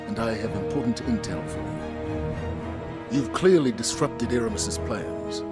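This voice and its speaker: An actor playing a solemn, worried military mentor in a video game, speaking in a grave, measured, earnest way. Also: male voice, on the low side.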